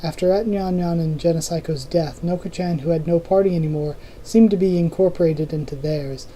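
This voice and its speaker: Hoarse reader, very dark mellow tone, casual novel readthrough, restarts and asides. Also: mid-range voice, brisk, slight background noise, poor audio. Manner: informal reading with restarts and self-corrections. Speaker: channel host reading a novel aloud.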